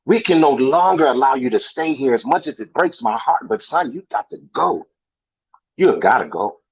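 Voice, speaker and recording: A man's voice: medium pitch, lively intonation, normal speed, breathy edge. A man performing a dramatic monologue as an angry, heartbroken father, stern, emphatic and pleading. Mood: heartbroken, resolute. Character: angry, heartbroken father confronting his drug-hiding son. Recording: muffled, dull audio.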